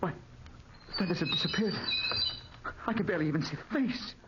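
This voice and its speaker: An actor playing a terrified, trapped young man in 1940s radio drama style, halting, breathless and melodramatic. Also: high-pitched, animated. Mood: mounting panic. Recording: noisy, muffled 1940s radio recording.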